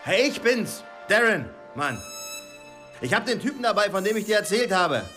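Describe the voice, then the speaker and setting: An actor playing a brash, impatient street hustler in a radio crime drama, slangy, loud and insistent. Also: male voice, high voice, big pitch swings, normal speed, soft background music, full character voice.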